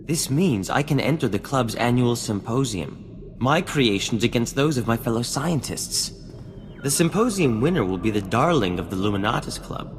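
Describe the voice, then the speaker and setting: An actor playing a vain, charming scientist in a video game, talking in grandiose, courtly phrases. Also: male voice, medium pitch, expressive, moderate pace, long reverb tail, close to an everyday voice. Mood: proud, grand. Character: flamboyant, vain, charming male scientist and self-styled genius. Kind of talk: scripted video game acting. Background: music.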